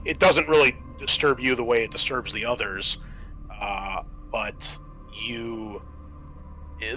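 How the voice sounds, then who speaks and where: A man with a fairly low voice and a bright, forceful tone acts as game master. He improvises descriptions haltingly, pausing often as he feels out each phrase. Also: a little hiss or hum, rough recording. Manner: halting, descriptive delivery with many pauses. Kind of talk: improvised tabletop game narration.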